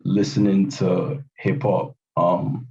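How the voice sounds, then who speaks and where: Low, dark-voiced man on remote audio. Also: gravelly. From Barbados. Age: 20s. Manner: relaxed, thoughtful, hesitant with many pauses. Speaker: guest hip hop producer. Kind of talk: casual podcast interview. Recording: muffled, distant remote call audio.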